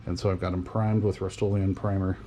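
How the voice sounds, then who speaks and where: A middle-aged man casually talking through a DIY job to camera in a folksy, unhurried, step-by-step way. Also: low voice, little pitch movement, background noise. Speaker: DIY radio hobbyist and channel host. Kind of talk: casual workshop explanation to camera.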